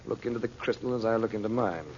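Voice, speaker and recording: Guy acting calm bossy magician uncle, middle aged, old radio serial, muffled n a bit noisy. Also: on the low side, quick pace, natural voice. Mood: measured, reading.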